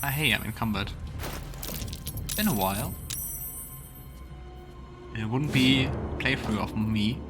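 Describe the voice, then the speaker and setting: A man's voice: medium pitch, measured pace, long pauses. A man hosting a let's play, giving casual, musing and wry conversational commentary on a video game. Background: game music.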